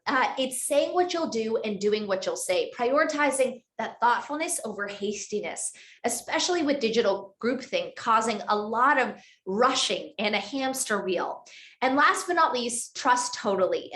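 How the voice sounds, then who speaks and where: A woman author giving a structured webinar talk on a video call, speaking in clear list-like phrases. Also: on the high side, fairly bright tone. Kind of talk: webinar presentation. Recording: roomy echoey sound.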